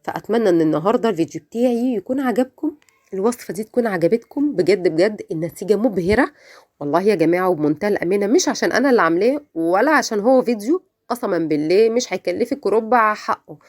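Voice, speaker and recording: A woman's voice: mid-range voice, racing, darkish tone. A woman with an Egyptian Arabic accent giving a chatty, effusive cooking voice-over, her voice slightly off from a cold. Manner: effusive, repeats words for emphasis, addresses viewers directly. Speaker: home cook hosting a recipe channel.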